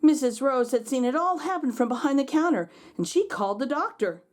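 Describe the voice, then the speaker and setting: A woman reading a children's storybook aloud, expressively and dramatically, with drawn-out words. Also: sits high, animated. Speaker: children's read-aloud host. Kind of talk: expressive storybook narration for kids.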